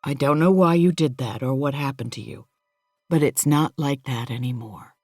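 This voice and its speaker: A woman voicing a kind, firm middle-aged male boss who speaks in a gentle, reassuring, fatherly way. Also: a bass voice, moderate pace, no room sound, clean studio sound, soft background music. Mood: soothing.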